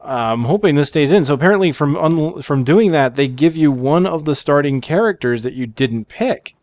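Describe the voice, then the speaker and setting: A man's voice: a little high, animated, normal speed, a little mellow, no room sound. A man hosting a gaming video, explaining things casually and in an upbeat way as he plays. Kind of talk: casual game preview commentary. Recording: dry, slightly dull microphone.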